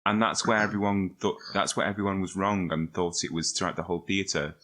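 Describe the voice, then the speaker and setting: A man's voice: deep voice, level tone, fairly quick, fairly bright tone. A man chatting casually as a podcast co-host in an offhand, jokey manner. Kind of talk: casual chat.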